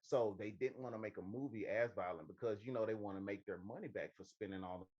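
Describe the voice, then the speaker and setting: A man's voice: on the low side, fast talker. A man with an American accent chatting casually as the co-host of a show, on a dull, lo-fi microphone. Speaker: co-host of a movie and video game show. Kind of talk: casual banter and discussion.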